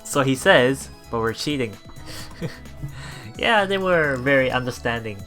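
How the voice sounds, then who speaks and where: Male let's play streamer, lively pitch, bright tone, halting read-aloud narration, faint music behind. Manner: halting reading with repeats and self-corrections. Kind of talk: reading a visual novel aloud with light commentary. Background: soft game music.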